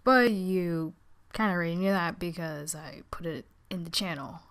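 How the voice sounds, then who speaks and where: Tired teenage girl, low clear voice. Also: moderate pace, fairly bright tone. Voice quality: naturally low voice. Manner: subdued, hesitant. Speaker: video channel creator. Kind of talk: casual vlog update, rambling.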